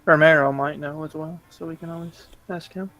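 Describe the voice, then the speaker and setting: A man's voice: fairly high pitch, steady tone, brisk, a bit bright, faint music behind. A man talking and roleplaying casually as a player in a tabletop game.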